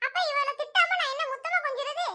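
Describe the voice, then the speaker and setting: A woman voicing a sharp-tongued old mother-in-law in a cartoon, nagging and calling out loudly. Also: very high-pitched, steady tone, quick pace, exaggerated voice. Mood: scolding. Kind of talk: cartoon voice acting.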